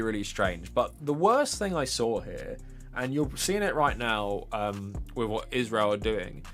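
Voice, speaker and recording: English man presenting, faint music behind. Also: mid-range voice. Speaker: livestream presenter. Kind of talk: informal political commentary over pictures.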